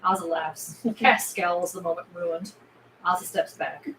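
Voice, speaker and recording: A woman's voice: fairly low pitch, fairly even intonation, unhurried, a bit bright. Woman reading stage directions, echoing room. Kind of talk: scripted narration at a table read. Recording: roomy echo with clear background noise.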